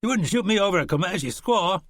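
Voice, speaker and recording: A man's voice: sits high, animated, a little fast, a bit bright, no room sound, studio quality, pitched above the performer's own voice. A man voicing a hateful frontier volunteer horseman who speaks in a harsh, sneering drawl.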